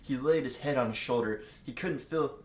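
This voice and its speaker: A man's voice: medium pitch, normal speed, slight background noise. Joking young man in an echoey room. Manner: joking, mock-dramatic, profane interjections. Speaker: guest co-reader. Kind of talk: comedic read-aloud with casual banter.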